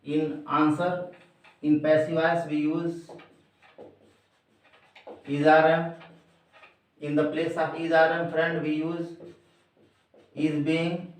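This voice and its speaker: A man with an Indian accent, a native Hindi speaker, teaching grammar in a deliberate, emphatic way and addressing his listeners directly. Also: a little high, level tone. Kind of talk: instructional grammar lesson to camera. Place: echoey room indoors. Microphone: distant microphone. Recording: very echoey room, distant mic.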